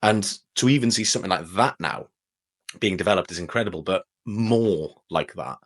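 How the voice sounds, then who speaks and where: Man, lowish pitch, interview guest, casual enthusiastic chat. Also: normal speed. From Wales.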